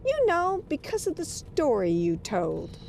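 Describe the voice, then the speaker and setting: A woman's voice: high voice, wildly swooping pitch, measured pace, gravelly, darkish tone, slight background noise, full character voice. A woman playing an earnest, easily frightened magazine reporter in an audio drama, polite and theatrically emotive. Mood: uneasy.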